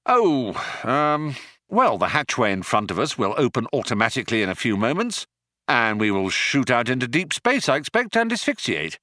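Man voicing a breezy, doomed alien traveller, lively pitch. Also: normal speed, dry recording. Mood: offhand, resigned. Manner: breezy, dry, offhand about doom. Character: flippant, resigned male alien traveller from another planet.

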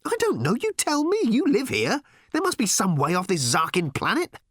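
A man's voice: high-pitched, huge pitch swings, brisk, pushed and bright, breathy edge, dry recording, studio quality, exaggerated voice. A man voicing an urgent, testy, self-important space traveller in a storybook reading, snappy and insistent. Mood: snapping, impatient.

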